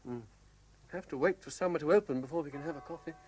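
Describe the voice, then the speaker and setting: A man's voice: on the high side, fast, a little mellow, lightly acted. A British actor playing an anxious, lovesick man terrified of heights in a radio drama, pleading and urgent. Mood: subdued, concerned. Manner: pleading, urgent, emotionally wrought. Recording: echoey, noisy vintage radio drama recording.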